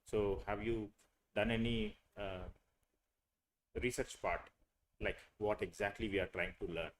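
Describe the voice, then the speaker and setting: A man's voice: on the low side, fairly even intonation, measured pace. A young man, teaching a live online class on a video call, hesitant with many fillers and restarts. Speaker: online course instructor.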